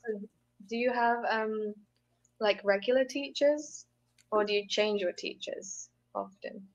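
A woman's voice: fairly high pitch, measured pace. A young woman from the UK with a British accent that carries a hint of American, talking in a friendly, encouraging and chatty way. She speaks into an earphone mic on a video call from home. The sound is slightly muffled and lo-fi. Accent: British with some American tinge. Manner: encouraging, chatty, laughs easily. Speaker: English tutor. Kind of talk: casual online tutoring chat. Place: indoors at home.

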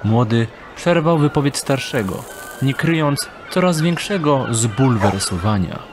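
A man's voice: mid-range voice, lively intonation, measured pace. A man reading scripted narration for an audio drama.

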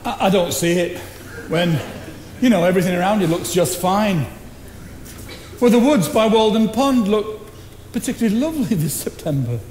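A simple, genial old countryman as comic relief in a stage drama. His voice is high and folksy, heard with strong theatre echo and faint noise. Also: animated, moderate pace, pauses often, a little mellow. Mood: folksy, puzzled. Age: older.

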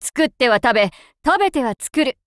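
High-voiced gushing crane-spirit lady. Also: sing-song, normal speed, no room sound. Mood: excited. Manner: polite, theatrical, fangirlish, breathless outbursts. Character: gushing, refined crane-spirit seamstress woman, devoted and excitable. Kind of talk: Japanese game voice acting. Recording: background music under clean studio voice.

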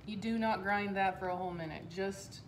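A woman's voice: medium pitch, noisy background. A woman in her fifties, a health coach, casually walking through a recipe in her home kitchen while she works, speaking into a camera on the counter. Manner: relaxed, unhurried, talks while working. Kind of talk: casual cooking demonstration. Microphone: phone or camera on the counter.

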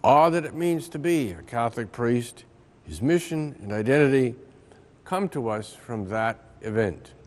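An older American man reads a religious reflection as a priest would in church. His delivery is slow and solemn, with each phrase measured out at a middling pitch. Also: expressive, slight background noise. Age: older, around 70. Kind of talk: scripted religious reflection, reading aloud. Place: large ornate church interior.

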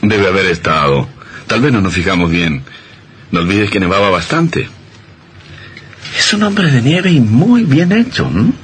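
Deep-voiced radio drama husband. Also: male voice, very animated, measured pace, pauses often, fairly bright tone. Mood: reassuring, curious. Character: easygoing, reassuring newlywed husband. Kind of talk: old radio drama acting. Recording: old radio recording with faint hiss.

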